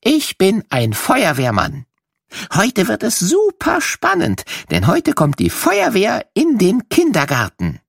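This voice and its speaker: A man narrating a scripted children's story in a playful, animated way. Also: sits high, slow pace, gravelly, breathy edge, no room sound.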